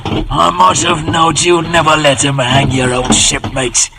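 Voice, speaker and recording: An actor playing a wily, wheedling one-legged old pirate with a stage West Country accent in vintage radio drama style. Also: male voice, a little high, a little slow, vocal fry. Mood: fond, stirred. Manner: wheedling, mock-deferential, swaggering. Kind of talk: 1930s-40s radio acting. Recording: noisy old radio broadcast recording.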